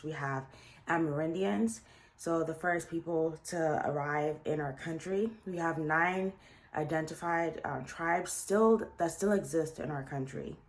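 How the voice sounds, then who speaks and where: A young adult woman from Guyana with a Guyanese accent, chatting casually as she introduces her series, often restarting sentences. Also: low-pitched. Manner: chatty, with frequent restarts and fillers. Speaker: series host.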